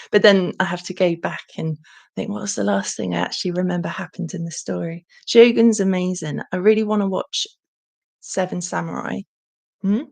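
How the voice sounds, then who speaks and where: A young woman hosting a casual livestream Q&A, with a croaky voice and a chatty manner full of ums. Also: fairly low pitch. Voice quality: croaky, gravelly. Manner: chatty, warm thanks, frequent ums. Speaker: channel host.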